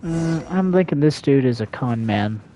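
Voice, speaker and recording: A man's voice: mid-range voice, animated, normal speed, mellow, slight background noise, low-quality recording. A man livestreaming a game, reading dialogue choices aloud and commenting casually.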